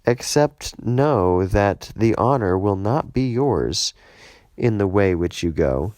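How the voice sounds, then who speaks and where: A man's voice: on the low side, lively intonation, slow pace, a little mellow, dry recording. A man voicing a commanding prophetess who urges a warrior on, in an emphatic declamatory way, in a scripture audiobook. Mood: firm.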